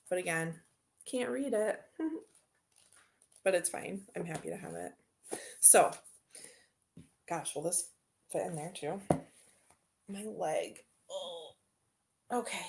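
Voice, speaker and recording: A woman's voice: lowish voice, expressive, takes it slowly, gravelly, pauses often, a little mellow, a little hiss or hum. A woman hosting a tarot channel, chatting casually and rambling as she unboxes decks and answers viewer comments.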